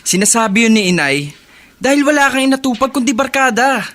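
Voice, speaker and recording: An earnest big brother lecturing in a radio drama, really high sharp voice. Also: male voice, big pitch swings, brisk, exaggerated voice. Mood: stern, lecturing. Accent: Filipino. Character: responsible, stern older brother, earnest and lecturing. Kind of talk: Filipino radio drama acting.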